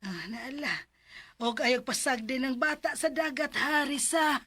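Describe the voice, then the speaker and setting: A tired elderly mother with a Cebuano accent. Her voice sits slightly high, and she grumbles reluctantly, as in a domestic radio drama. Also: a little slow, over music. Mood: weary grumbling. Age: older. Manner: weary, grumbling. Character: weary, reluctant elderly mother with aching knees.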